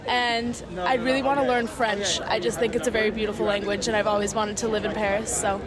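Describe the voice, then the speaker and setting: Farsi-native woman amid a loud crowd. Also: medium pitch, little pitch movement, brisk, rough recording. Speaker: interviewee.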